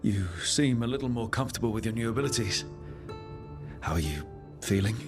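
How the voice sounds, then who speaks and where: Low-voiced, steady game hero played by a man. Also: a little fast, over music, lightly acted. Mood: calm, supportive. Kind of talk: video game dialogue acting.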